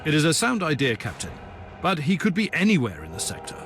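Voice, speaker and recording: Stern, guarded game Inquisitor. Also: male voice, a little high, huge pitch swings, quick pace, a bit bright, a little hiss or hum, full character voice. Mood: grave. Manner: formal, grave, terse. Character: stern, guarded male psyker Inquisitor warrior. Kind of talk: dramatic video game dialogue. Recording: music behind the voice.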